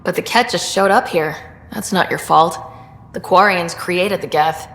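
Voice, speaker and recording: A woman playing a helpful, wry young space explorer in video game dialogue, talking in a steady conversational way. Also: fairly low pitch, measured pace, roomy sound, long reverb tail. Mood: questioning, steady.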